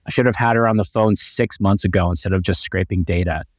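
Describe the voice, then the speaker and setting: A man's voice: low-pitched, steady tone, fast talker, no room sound, studio quality. A man co-hosting a real estate podcast, chatting casually and upbeat with lots of fillers and restarts. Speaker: podcast co-host.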